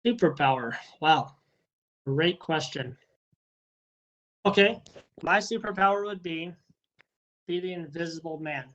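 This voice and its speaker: Man, rambling and friendly, slightly high voice, repeats himself, heard through a video call. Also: measured pace, long pauses, a bit bright, lo-fi sound. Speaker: interviewee, first responder and dog handler. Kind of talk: casual interview answers.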